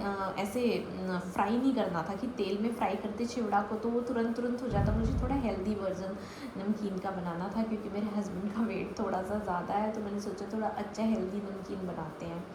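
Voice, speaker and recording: Young woman from eastern Uttar Pradesh, casual audio-diary storyteller, chatty, English words mixed in, echoey room with background noise. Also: mid-range voice. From eastern Uttar Pradesh, India. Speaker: vlogger. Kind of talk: casual first-person audio diary, recounting her day. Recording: roomy echo, clear background noise.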